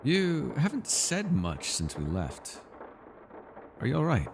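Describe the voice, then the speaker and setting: A man's voice: medium pitch, wildly swooping pitch, slow pace, gravelly, long reverb tail, noisy background, heavily acted. A man playing a bookish, witty but guarded elf in a fantasy ASMR roleplay, speaking in a wry, wordy, formal way. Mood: apologetic, hesitant. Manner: wry, wordy and formal, flustered when pressed.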